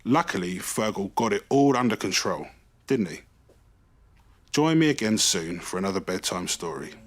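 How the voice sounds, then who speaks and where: A man reading a children's bedtime story in an expressive, animated way, stretching key words for emphasis. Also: on the low side, normal speed, slight background noise.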